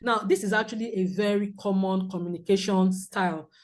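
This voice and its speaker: A woman counsellor with a loud voice, teaching a group in a clear, measured way with examples. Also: medium pitch, steady tone. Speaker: premarital counsellor. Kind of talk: teaching a seminar talk.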